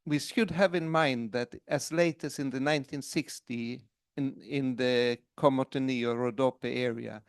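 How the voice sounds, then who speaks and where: Man, conference panelist, slow measured explanation, dry close sound. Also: mid-range voice, fairly even intonation, clear, resonant tone, dry recording. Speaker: panelist commenting.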